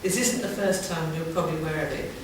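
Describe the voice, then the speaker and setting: Heard across a highly reverberant room with clear background noise, a woman speaks as a councillor. She asks formal questions without a script, in long, rambling phrasing. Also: fast. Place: large town hall meeting room. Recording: very echoey hall, distant mic, clear background noise.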